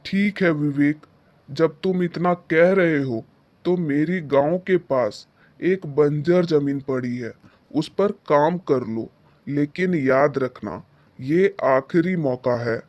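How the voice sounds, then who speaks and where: A man's voice: fairly high pitch, slow, a little mellow. A man voicing a stern, grudging rich village landowner who scolds and dismisses in a moral-story cartoon. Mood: grudging, warning. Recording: music behind the voice. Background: background music.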